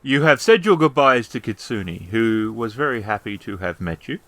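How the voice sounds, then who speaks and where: A man's voice: medium pitch, lively intonation, moderate pace, a bit bright, no echo. A man narrating a tabletop roleplaying game as storyteller, describing scenes to the players.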